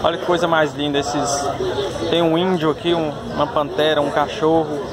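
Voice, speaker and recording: A man's voice: on the high side, flat delivery, normal speed. A man with a Brazilian accent giving casual, admiring commentary as he walks through an outdoor market, listing what is on display. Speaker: vlogger. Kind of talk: casual walking tour commentary. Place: outdoor street market under tents. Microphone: handheld camera or phone while walking. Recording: busy outdoor street crowd noise. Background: loud crowd chatter.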